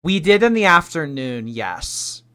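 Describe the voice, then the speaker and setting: A woman in her late twenties chatting on a livestream, reading out viewer tips and teasing, swinging between loud and mumbled. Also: sits low, very animated, unhurried. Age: late 20s. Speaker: streamer. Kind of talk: livestream reaction chat.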